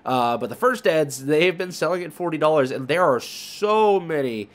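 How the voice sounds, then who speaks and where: A man's voice: on the high side, lively intonation, moderate pace. A man hosting an online video, giving casual off-the-cuff commentary and thinking aloud as he browses. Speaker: YouTube host.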